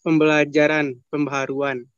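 A man's voice: on the high side, level tone, normal speed, clean tone, no echo. A male student from West Sumatra giving short, polite answers on a video call. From West Sumatra, Indonesia. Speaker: student participant. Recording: dry, lo-fi video call audio.

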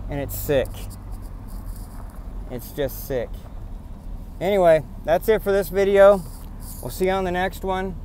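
A man's voice: on the high side, warm tone, slight background noise. Indignant man ranting from his truck. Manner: indignant, rambling, repeats himself. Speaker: vlogger. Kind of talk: casual rant to camera. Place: in a pickup truck on farm roads, then outdoors on rural land.

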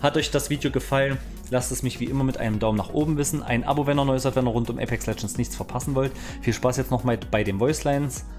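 A man in his thirties talks as a gaming YouTuber, informal and explanatory. His mid-pitched voice is close on a studio microphone in a home room, with faint music behind. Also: fairly even intonation, fast talker, a little mellow. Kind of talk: casual video game news vlog. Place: small indoor home streaming room. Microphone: studio microphone on boom arm, close.

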